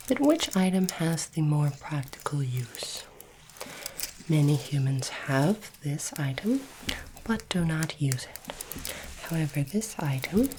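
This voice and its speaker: A woman playing a polite, curious alien interviewer in an ASMR roleplay, speaking formally and deliberately in a soft, breathy whisper. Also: deep voice, background noise. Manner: slow, deliberate, formal phrasing.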